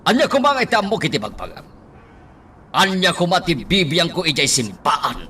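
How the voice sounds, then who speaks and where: Man as husband, irritable, dismissive, sharp tone, animated pitch, Ilocano Filipino accent, radio drama. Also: moderate pace, breathy edge, full character voice. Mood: irritated.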